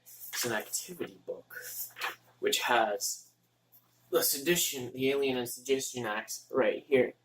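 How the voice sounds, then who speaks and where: A young male student from Texas talks slowly to himself, halting and mumbling as he thinks aloud. His voice sits at a middle pitch. A faint background noise runs under the recording. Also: a bit bright, poor audio. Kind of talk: casual study vlog, thinking aloud.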